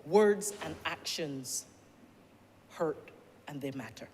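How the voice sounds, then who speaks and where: Barbadian accent, middle-aged, minister, formal parliamentary speech, deliberate, echoing chamber. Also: medium pitch, lively intonation, a little mellow. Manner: formal, earnest, deliberate, with repeated rhetorical questions. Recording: long-reverb chamber with faint noise.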